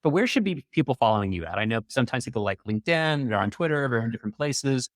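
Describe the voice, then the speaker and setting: A man's voice: medium pitch, very animated, quick pace, no room sound. Male interview host, mid-range voice, upbeat and encouraging, recorded dry. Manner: effusive, encouraging. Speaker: podcast host.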